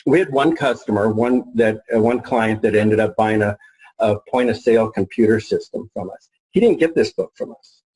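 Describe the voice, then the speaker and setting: A middle-aged man informally presenting as a marketing expert, folksy and halting as he thinks aloud. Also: medium pitch, mellow, poor audio. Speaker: marketing expert and author presenting his book.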